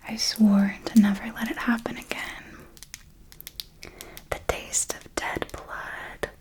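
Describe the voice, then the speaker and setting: A woman whispering an ASMR roleplay as a darkly elegant, possessive vampire mentor, telling it in a deliberate, theatrical way. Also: mid-range voice, low-quality recording. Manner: slow, deliberate, theatrical storytelling.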